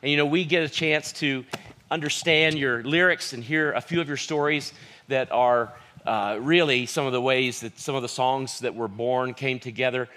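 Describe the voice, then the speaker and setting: A pastor hosts a guest in front of his congregation, talking in a friendly way and often tripping over and repeating his words. His voice sits at an ordinary pitch for a man. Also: moderate pace, sharp tone. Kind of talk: hosting a church interview.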